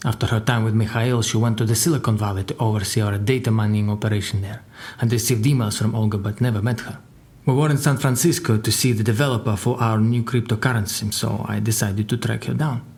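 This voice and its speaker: An actor playing a crime boss's guarded secretary in an audio drama, telling his story in a dry, deliberate way. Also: fairly low pitch, fairly even intonation, a little mellow, lightly acted. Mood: dry, confiding. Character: formidable Russian crime boss's secretary, guarded male survivor. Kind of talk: audio drama dialogue and narration.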